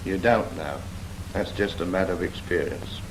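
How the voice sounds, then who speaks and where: Low-voiced British navy instructor played by an actor. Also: male voice, normal speed, lightly acted. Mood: instructive. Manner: instructive, firm, prompting with questions. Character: patient, brisk Royal Navy instructor teaching a novice helmsman. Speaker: naval steering instructor. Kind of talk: scripted 1940s training film dialogue. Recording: noisy 1940s film soundtrack.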